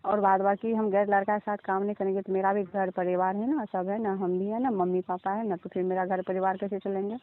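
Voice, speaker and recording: A woman's voice: medium pitch, little pitch movement, brisk, hardly any pauses, no room sound. A woman from Bihar with a Bihari accent, defending herself insistently and colloquially in a recorded phone interview. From Bihar, India. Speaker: interviewee, orchestra stage dancer. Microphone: phone call. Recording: tinny phone-line call recording.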